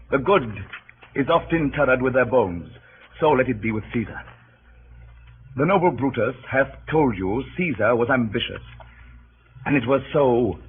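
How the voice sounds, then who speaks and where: An actor playing a grieving, cunning Roman orator rousing a mob in declamatory, deliberate 1930s radio Shakespeare style. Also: male voice, mid-range voice, natural voice. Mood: solemn, measured. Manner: declamatory, deliberate, swinging from hushed to impassioned. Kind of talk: 1930s radio Shakespeare acting, funeral oration. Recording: scratchy, tinny 1930s radio broadcast.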